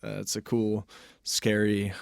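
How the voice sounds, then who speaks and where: A man with a slightly low voice in a very dry recording hosts a podcast. His promo chat is casual, wry and laid-back. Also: completely flat delivery, slow, creaky, clean tone, no echo. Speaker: podcast host and author.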